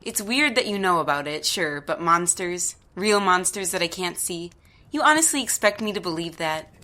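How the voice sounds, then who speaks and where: A woman's voice: fairly low pitch, lively intonation, moderate pace, pushed and bright. A woman playing a skeptical, bewildered mother in an audio drama, with a hesitant, incredulous way of talking. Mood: bewildered, doubtful. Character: skeptical, bewildered mother who makes dolls.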